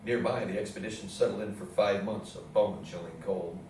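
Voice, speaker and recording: A low-voiced man delivers scripted historical narration as a play's narrator. He is recorded from a distance in a very echoey room with faint noise. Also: fairly even intonation, fairly quick, darkish tone. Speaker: narrator in a readers theatre play. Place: indoor theatre room with audience program.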